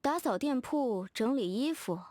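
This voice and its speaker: A woman's voice: high-pitched, lively intonation, measured pace, darkish tone, dry recording. A woman voicing a confident, playfully competitive young fashion designer in a video game, direct and teasing. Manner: direct, self-assured, teasing. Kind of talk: scripted video game character dialogue.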